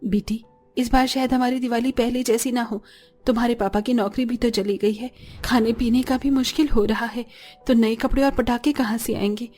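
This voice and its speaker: A woman voices a poor mother and patient wife in a cartoon tale. Her high voice is gentle, resigned and encouraging, with music behind it. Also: fairly even intonation, normal speed, natural voice. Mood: sad, tender.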